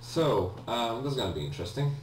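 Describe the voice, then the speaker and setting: A man's voice: mid-range voice, big pitch swings, a little fast. A man giving casual video game commentary in a hesitant, rambling way, often correcting himself. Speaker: let's player, video game host. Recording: roomy, distant mic with faint hum.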